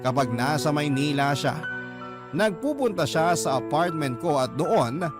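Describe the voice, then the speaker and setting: A man's voice: fairly high pitch, expressive, a little slow. A man reading a scripted first-person story as a radio drama narrator. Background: music.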